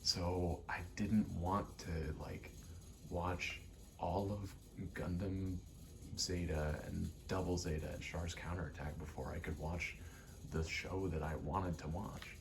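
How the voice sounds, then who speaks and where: A soft-spoken man rambling casually in ASMR style, hesitant and pausing often between short phrases. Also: low-pitched, fairly even intonation, gravelly, background noise. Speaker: ASMR vlogger and aspiring actor.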